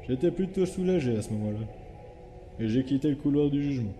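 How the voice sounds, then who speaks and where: Male actor as a guilt-ridden skeleton, very dark muffled-soft tone, weary, subdued, halting, dramatic fan comic dub. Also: mid-range voice, expressive, a little hiss or hum, lightly acted. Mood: weary, resigned.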